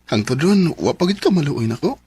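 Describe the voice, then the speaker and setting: A man's voice: a little high, big pitch swings, a little fast, darkish tone, slightly rough, no echo, exaggerated voice. An actor playing a humble, lovestruck young man in a radio melodrama, earnest and pleading, with a Cebuano accent. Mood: hopeful pleading. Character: lovestruck young man, humble suitor turned anxious poor husband. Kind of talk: Philippine radio melodrama acting. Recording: noisy radio drama recording.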